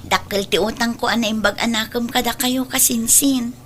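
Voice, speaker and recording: A woman's voice: fairly high pitch, steady tone, moderate pace, a little hiss or hum, natural voice. A woman with an Ilocano Filipino accent playing a dutiful, long-suffering adult daughter in an audio drama, earnest and pleading. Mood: reassuring.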